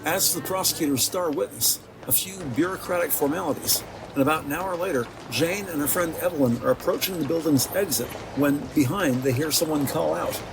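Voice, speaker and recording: A man's voice: a little high, brisk, vocal fry, background noise. A man narrating an audio drama from a script in a descriptive, theatrical storytelling manner. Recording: music behind the voice.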